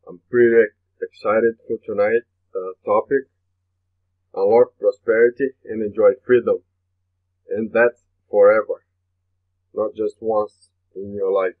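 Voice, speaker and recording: A man's voice: lowish voice, little pitch movement, a little slow, pauses often. A man from Brazil with a Brazilian Portuguese accent, a native Portuguese speaker, hosting a motivational webinar in an earnest manner and often repeating his phrases. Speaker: webinar host. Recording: tinny phone-like webinar audio.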